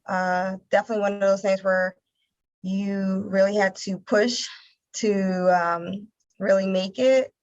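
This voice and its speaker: An American woman from Philadelphia speaks as an interview guest. Her pitch sits at an average height and stays fairly even, with halting, repeated words as she thinks. The recording is slightly dull and lo-fi. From Philadelphia, United States. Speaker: interview guest, nonprofit communications director. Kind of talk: conversational interview answers.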